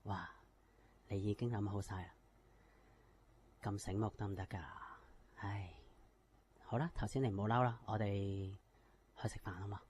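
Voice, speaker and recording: An actor with a Hong Kong Cantonese accent playing an aggrieved, self-important company boss in a radio drama. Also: male voice, lowish voice, fairly even intonation, moderate pace, long pauses, a little mellow, a little hiss or hum, close to an everyday voice. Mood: pleased, coaxing.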